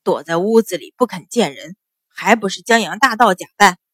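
A woman's voice: mid-range voice, moderate pace, fairly bright tone, slightly rough, no room sound, studio quality. A woman voicing an arrogant male government officer in an audiobook, a sneering, blustering bully who howls like a coward.

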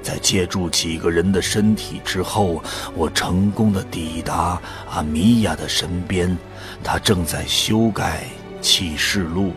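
Guy acting wistful resolute hero narrating audio drama, really deep voice, music behind him. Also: takes it slowly. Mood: solemn, lyrical. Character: male first-person hero, resolute, wistful, near death. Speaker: narrator and lead actor. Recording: voice under prominent background music.

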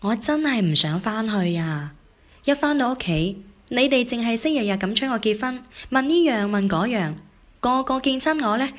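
A woman with a Cantonese accent playing a reluctant, weary adult daughter in a radio drama. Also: a little high. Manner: pleading, fed up.

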